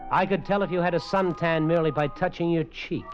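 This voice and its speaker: A man's voice: fairly quick, close to an everyday voice. An actor playing a thoughtful man with trained super senses, narrating and talking in a 1950s radio drama. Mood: reflective narration. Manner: earnest, thoughtful, lively in pitch. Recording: dull old radio broadcast with faint music.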